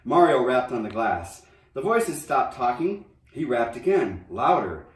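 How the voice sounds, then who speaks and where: A man reading a children's novel aloud in an expressive storybook narration style. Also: medium pitch, unhurried. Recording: roomy echo, distant microphone.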